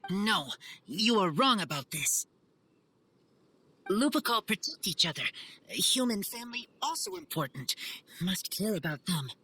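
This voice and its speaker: A woman voicing an earnest, feral wolf-raised boy in a video game, speaking in blunt, halting broken grammar. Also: mid-range voice, very animated, pushed and bright, husky, heavily acted. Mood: earnest protest. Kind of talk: video game character acting.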